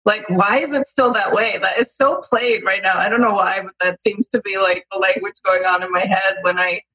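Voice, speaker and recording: A woman's voice: medium pitch, fairly even intonation, brisk, sharp tone, breathy edge, poor audio. A woman in her thirties hosting a podcast over a video call with headphones on, musing thoughtfully with restarts and fillers. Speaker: podcast host. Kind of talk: casual interview chat. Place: indoor room at home.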